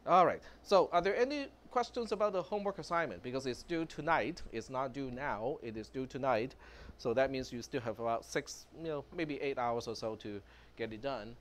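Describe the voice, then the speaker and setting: A man's voice: medium pitch, moderate pace, a little hiss or hum. A man whose first language is Cantonese, giving a casual, chatty university computer science lecture in a classroom, with plenty of fillers. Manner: relaxed, chatty, lots of fillers, chuckles.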